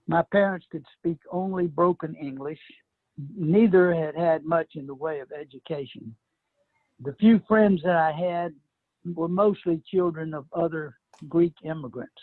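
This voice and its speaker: A man's voice: fairly high pitch, a little slow, no echo, phone-quality sound. An older man from an immigrant family, telling a panel about his school days in a reflective, reminiscing way. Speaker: panel guest. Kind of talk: personal storytelling.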